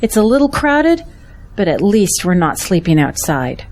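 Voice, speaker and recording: A devout, hospitable churchwoman in an audio drama. She speaks gently and sincerely in a medium-pitched voice. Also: big pitch swings, normal speed. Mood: reassuring.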